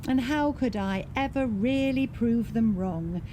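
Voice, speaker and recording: High-pitched, anxious woman, frustrated campaigner. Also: mellow. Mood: weary, defensive. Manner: earnest, agitated, self-questioning. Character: anxious, frustrated woman who ran a domestic abuse service. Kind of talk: radio drama monologue, talking to herself. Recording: clear background ambient noise.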